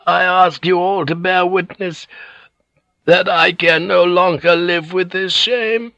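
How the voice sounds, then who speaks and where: Audiobook role: elderly aristocratic woman performed by a man, high frail voice, hoarse, resolute, formal and halting. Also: fairly even intonation, bright, forceful tone, no room sound, dull audio, exaggerated voice. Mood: solemn, accusing. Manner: solemn, halting, formal declarations. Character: frail dying old Japanese noblewoman, proud and resolute.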